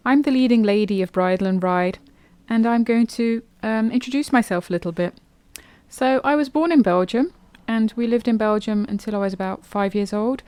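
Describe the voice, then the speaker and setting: A woman's voice: on the high side, normal speed, a little mellow, clean tone, no room sound. A woman hosting a podcast, talking casually and at ease, with frequent ums and loose restarts. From England. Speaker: podcast host. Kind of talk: casual solo podcast monologue.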